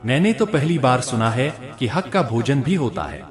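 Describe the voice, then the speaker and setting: A man's voice: medium pitch, sing-song, moderate pace, over music, natural voice. A man voicing a courteous, puzzled king in a told folk tale, asking questions respectfully and formally.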